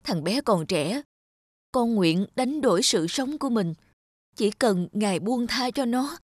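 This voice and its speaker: A woman voicing a gentle, self-sacrificing ageing mother, tender and humble, in an animated fairy tale. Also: on the high side, a little slow, no echo, clean studio sound. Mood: humble pleading.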